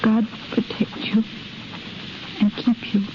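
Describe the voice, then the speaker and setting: An actress playing a lonely, anxious expectant young wife, tender and wistful, in earnest 1930s radio drama style. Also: female voice, on the high side, flat delivery, takes it slowly. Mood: tender, weary. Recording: muffled, hissy 1930s radio recording.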